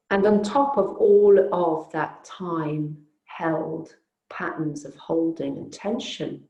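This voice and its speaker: Very slow-spoken woman guiding relaxation. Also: low-pitched, echoey room, low-quality recording. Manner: soothing, unhurried, gently inviting with long pauses. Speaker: yoga nidra teacher. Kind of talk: guided relaxation meditation.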